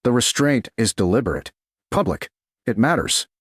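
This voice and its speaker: A man reading a romance story in a slow, measured narration style. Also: medium pitch, big pitch swings, slightly rough, no echo.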